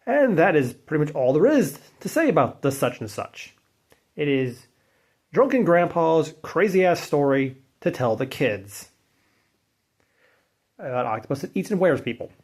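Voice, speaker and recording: Wry man telling folklore, lively pitch. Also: unhurried, slightly rough. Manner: wry and offhand, with mild swearing; loose delivery with fillers and restarts. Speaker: narrator and channel host. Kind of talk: casual spoken folklore narration.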